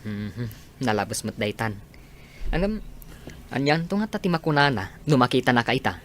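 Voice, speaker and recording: A man's voice: medium pitch, sing-song, moderate pace, slight background noise, lightly acted. A man with an Ilocano accent acting a friendly, sympathetic chum in an audio drama. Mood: friendly, curious.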